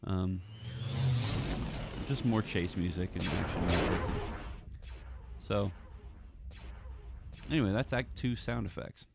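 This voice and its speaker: A man's voice: sits low, slow, gravelly, long pauses, noisy background. A man giving a casual walkthrough of his audio drama editing, thinking aloud in fragments. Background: film score music.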